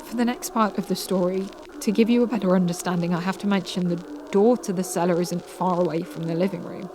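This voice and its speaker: A woman narrating a scary story in a measured manner with dramatic pauses. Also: lowish voice, fairly even intonation, background noise. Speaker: narrator reading submitted scary stories. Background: music.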